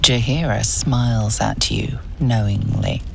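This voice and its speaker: A woman playing an ominous, hushed storyteller, reading scripted narration for a fantasy game. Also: a bass voice, slow, creaky, background noise, exaggerated voice. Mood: mysterious.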